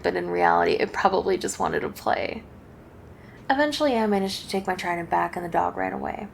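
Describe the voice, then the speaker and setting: A woman voicing a friendly, playful and bashful succubus in a casual, chatty, gently teasing ASMR roleplay addressed to the listener. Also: mid-range voice, vocal fry, slight background noise.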